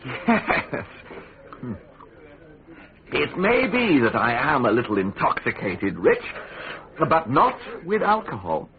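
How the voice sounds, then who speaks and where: Smug, animated Tudor schemer. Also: male voice, mid-range voice, a crowd in the background. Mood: self-satisfied. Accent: English. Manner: insinuating, teasing, deliberate with pointed pauses. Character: smug, worldly, scheming male Tudor government official. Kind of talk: British radio drama acting. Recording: tinny narrow-band radio recording.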